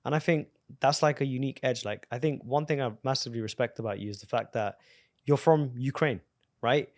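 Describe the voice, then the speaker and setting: A man's voice: medium pitch, a little fast, no echo, clean studio sound. A man hosting a podcast, talking in a casual, enthusiastic and profane way, full of bro slang. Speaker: podcast host and business coach. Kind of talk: casual interview conversation.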